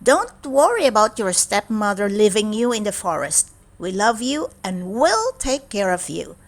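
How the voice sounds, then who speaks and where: A woman's voice: mid-range voice, sing-song, moderate pace. A woman reading the part of a kindly, protective little male dwarf in a storybook.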